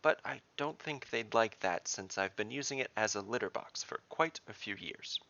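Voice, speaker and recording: A man voicing a dry, wry wizard cat in a fantasy audio storybook, deadpan and resigned. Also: lowish voice, normal speed, muffled recording. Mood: dry humour.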